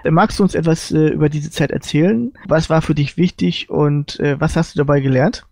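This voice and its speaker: A man's voice: fairly high pitch, moderate pace, creaky, darkish tone, no room sound, clean studio sound. A man working as a podcast co-interviewer, asking questions in a casual way.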